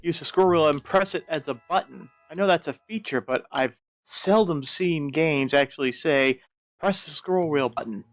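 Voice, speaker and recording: A man's voice: a little high, moderate pace, a bit bright, no room sound, soft background music. A man co-commentating casually on a video game.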